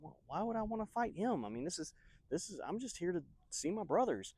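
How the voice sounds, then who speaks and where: A man's voice: high voice, big pitch swings, brisk, a little mellow, slightly rough, dry recording, pitched above the performer's own voice, heavily acted. A man voicing a young shepherd boy, naive and indignant, asking questions in casual slang for a Bible story. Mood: puzzled.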